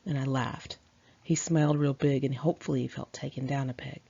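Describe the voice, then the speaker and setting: A woman's voice: extremely low pitch, fairly even intonation, brisk, gravelly, warm tone, husky. A woman reading her own memoir aloud in a candid, chatty, sassy conversational style, on a dull lo-fi home recording.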